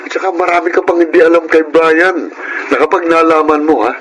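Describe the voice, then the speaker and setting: An actor with a Filipino accent playing a snobbish, jealous young suitor in a radio drama, with a condescending manner. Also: male voice, a little high, fairly even intonation, fairly quick, a bit bright, slight background noise, natural voice, poor audio. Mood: scornful. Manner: smug, condescending.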